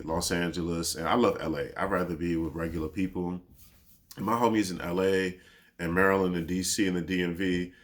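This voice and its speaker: A man in his thirties with a deep voice, talking through a personal reflective monologue in a laid-back, rambling way full of fillers. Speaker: podcast host.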